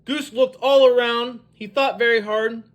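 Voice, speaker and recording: A storyteller reading a picture book aloud for young children in an animated, expressive way. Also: medium pitch, a little slow.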